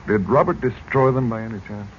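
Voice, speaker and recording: A man acting as a mysterious magician with a lowish, calm, portentous voice in a radio play. The old recording is muffled and faintly noisy. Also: a little fast, close to an everyday voice. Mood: calm, probing. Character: grave, mysterious uncle, a worldly magician. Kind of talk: 1940s radio drama acting.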